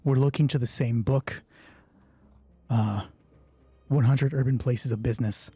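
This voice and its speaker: A man hosting a solo roleplay game, narrating it dramatically and deliberately in short phrases. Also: medium pitch, fairly even intonation, dark tone, slightly rough, no room sound.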